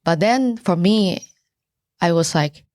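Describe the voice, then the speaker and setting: A middle-aged woman from Taiwan whose first language is Mandarin, with a Taiwanese accent, answering podcast questions earnestly and pausing to search for words. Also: fairly low pitch, darkish tone, no room sound, clean studio sound. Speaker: buyer's agent, podcast guest. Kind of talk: casual interview answers.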